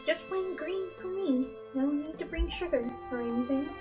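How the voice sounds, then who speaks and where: Young cartoon unicorn mare acted by a woman, high-pitched, awkward and jittery, music underneath. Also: normal speed, distant mic, highs cut off, lightly acted. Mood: polite, restrained. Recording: music bed behind the voice. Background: instrumental music.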